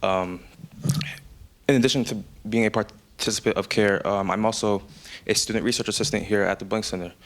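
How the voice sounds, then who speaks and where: Young male student, low even voice, stutters with repeats and fillers; sincere prepared speech. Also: a little fast. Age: young adult. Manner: stutters audibly with repetitions and fillers. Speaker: student speaker. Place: event speech.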